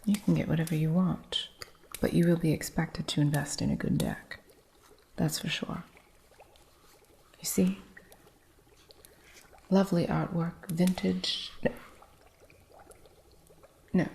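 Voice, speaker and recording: A woman playing a gravelly-whispering eccentric witch shopkeeper. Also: low voice, fairly even intonation, a little hiss or hum, exaggerated voice. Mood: hushed whispering. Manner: soft, unhurried whispering with a stern, territorial edge. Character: eccentric, possessive woman witch running a curio shop. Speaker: ASMR roleplay artist. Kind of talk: whispered ASMR roleplay. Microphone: close ASMR microphone.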